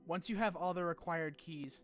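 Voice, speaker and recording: Guy doing a video essay voiceover, kinda high voice, sweary and opinionated, bit of music behind. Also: normal speed. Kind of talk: scripted game retrospective narration with casual asides. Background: background music.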